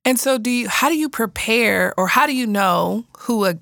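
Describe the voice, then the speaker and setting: A woman's voice: a little high, lively intonation, moderate pace, gravelly, fairly bright tone, dry recording, studio quality. A woman interviewing a guest, asking curious, encouraging questions and joking about herself. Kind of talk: conversational interview. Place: small room.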